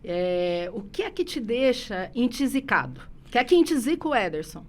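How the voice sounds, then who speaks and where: A woman's voice: a little high, very animated, moderate pace. A woman hosting an interview, asking questions in a friendly, chatty way and sometimes stumbling over her words. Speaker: interview host. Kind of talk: casual interview conversation.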